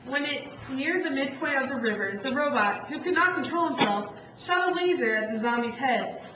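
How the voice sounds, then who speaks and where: A woman's voice: high-pitched, expressive, moderate pace. A woman reading a story aloud as narrator of a puppet show, stumbling over and rereading some lines. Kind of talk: live storytelling read from a script. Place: echoey room, recorded from far off. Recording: distant echoey room, muffled, noisy.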